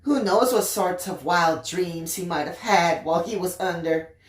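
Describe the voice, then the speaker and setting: A woman voicing a calm, matter-of-fact nurse in a storybook reading, measured and slightly patronising. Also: lowish voice, roomy sound, lightly acted. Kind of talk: dramatised audiobook reading.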